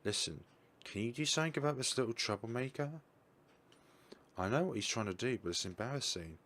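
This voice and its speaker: A man voicing an AI copy of a young woman scientist, who speaks calmly and precisely with a mild teasing edge. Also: mid-range voice, brisk. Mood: embarrassed.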